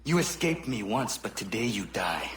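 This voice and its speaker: A cold, vengeful young warrior confronting an enemy in a game. His mid-range voice is steady and accusing, with a roomy ring to it. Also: on the low side, normal speed, slight background noise. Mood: stern. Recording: roomy game cutscene audio.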